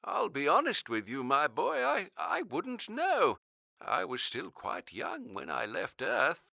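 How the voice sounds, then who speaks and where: A very old man with a gravelly creak and slow, halting delivery. His pitch swoops widely as he speaks, courteous, old-fashioned and wistful, in a radio drama interview. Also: huge pitch swings, sharp tone, no room sound, studio quality, full character voice. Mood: kindly, chuckling. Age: older. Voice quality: creaky, aged voice. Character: ninety-three-year-old man, gentle Earth-born elder, wistful.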